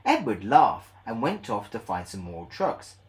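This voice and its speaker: A man narrating a children's read-along story in a measured, expressive way. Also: lowish voice, very noisy.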